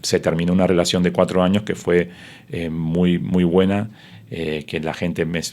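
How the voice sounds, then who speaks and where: A man's voice: deep voice, level tone, normal speed, darkish tone. A middle-aged man, a sports broadcaster whose first language is Spanish, telling his story in an interview with many fillers and restarts.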